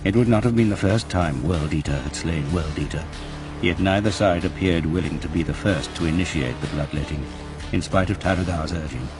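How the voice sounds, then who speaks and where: A man giving a grave, intense, deliberate narration of scripted fiction for an audio drama. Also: sits low. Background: music.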